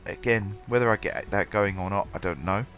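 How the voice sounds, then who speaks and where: Stuffy guy with a cold, lowish voice, rambling game commentary w lots of ums. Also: brisk, fairly bright tone. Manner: rambling, many ums and restarts. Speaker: gaming channel host. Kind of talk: casual gameplay commentary. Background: faint background music.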